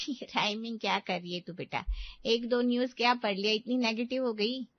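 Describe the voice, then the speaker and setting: A woman's voice: fairly high pitch, fairly even intonation, moderate pace, no room sound, lo-fi sound, natural voice. A woman playing a caring middle-aged mother in an audio drama, speaking in a soothing, motherly way. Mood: gently persuading. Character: caring, reassuring middle-aged Indian mother of two daughters. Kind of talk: Hindi audio drama acting.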